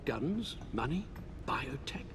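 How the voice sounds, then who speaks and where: Anxious old British butler, expressively lilting. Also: male voice, medium pitch, sing-song, slow pace. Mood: alarmed, admonishing. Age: older. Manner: formal, emotive, careful phrasing. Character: anxious, devoted elderly British butler and guardian. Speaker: video game voice actor. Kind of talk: cinematic game voice acting. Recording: music bed under dialogue.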